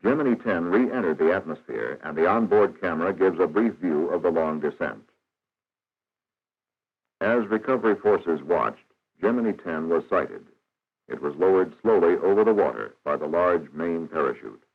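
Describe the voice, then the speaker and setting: A man reading scripted narration for a 1960s educational film in a formal, measured, matter-of-fact manner. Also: deep voice, animated, husky. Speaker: documentary narrator. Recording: dull, band-limited 1960s film soundtrack.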